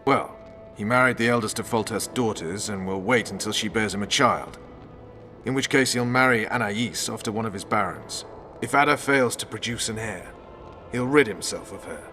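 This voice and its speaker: Hardened soldier, lowish pitch, blunt, resolute, vengeful, fantasy game dialogue, prominent background music. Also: male voice, moderate pace, bright, forceful tone. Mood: stern, resolute. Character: hard, vengeful male special forces commander, loyal soldier. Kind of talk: dramatic video game acting.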